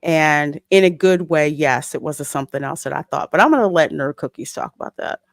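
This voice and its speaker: Female podcast host, low register, casual and conversational, dry close sound. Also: a little fast, creaky, no room sound. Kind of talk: casual discussion.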